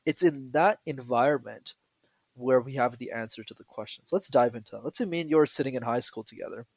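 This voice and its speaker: A young man from Canada talks energetically and jokes often as a podcast guest. His voice sits at an ordinary pitch, and the sound is close and dry. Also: fairly quick, slightly rough, no echo. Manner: energetic, joking, explanatory. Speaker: guest, public speaking coach and YouTuber. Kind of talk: casual podcast interview.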